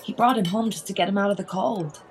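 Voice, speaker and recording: A woman's voice: medium pitch, fast, soft background music, natural voice. A woman playing a kindly, practical cafe waitress in a radio play. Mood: kindly, brisk.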